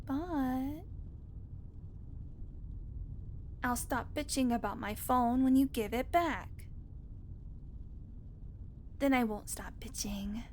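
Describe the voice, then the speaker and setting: Woman acting a high-voiced sarcastic vampire woman. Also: a little mellow, slight background noise. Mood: wry, complaining. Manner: teasing, wry, casual swearing. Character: sarcastic flirty female vampire, captive road-trip passenger. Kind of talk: audio roleplay voice acting.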